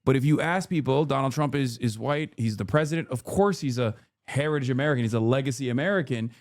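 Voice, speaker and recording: A man's voice: mid-range voice, fast. A man hosting a live stream, chatting casually and conversationally with frequent fillers. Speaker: streamer host. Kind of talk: casual chat.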